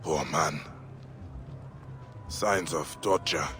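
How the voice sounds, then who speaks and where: A man acting a grim but kind Egyptian warrior and protector in a video game, speaking in a measured, formal way. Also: very deep voice, fairly bright tone, faint music behind, exaggerated voice. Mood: somber, investigating.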